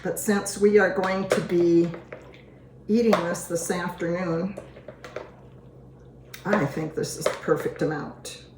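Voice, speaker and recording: A hoarse woman talks slowly and easily while demonstrating a recipe, chatting in a homey way as she goes. Her voice bounces around an echoey kitchen. Dishes and pots clatter behind her. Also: on the low side, steady tone, noisy background. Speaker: cooking show host. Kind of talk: casual cooking demonstration. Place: home kitchen. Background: dishes and utensils clinking.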